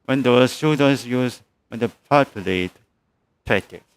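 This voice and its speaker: Very low-voiced woman streaming a game. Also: takes it slowly, clear, resonant tone. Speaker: livestreamer. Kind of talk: short offhand remarks over gameplay.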